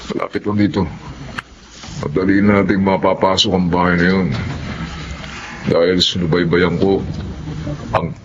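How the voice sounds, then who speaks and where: An actor playing a domineering, self-assured thief who leads a gang in a Filipino radio drama. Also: male voice, sits low, little pitch movement, measured pace, long pauses. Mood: cocky, persuasive. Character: cocky, domineering male thief, leader of a gang. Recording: dull, faintly noisy.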